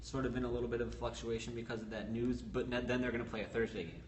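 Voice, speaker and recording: A man co-hosting a casual sports podcast, explaining stats and game outlooks in a brisk, analytical way. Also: mid-range voice, little pitch movement, crowd noise. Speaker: sports reporter co-host. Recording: roomy echo with faint ambient noise.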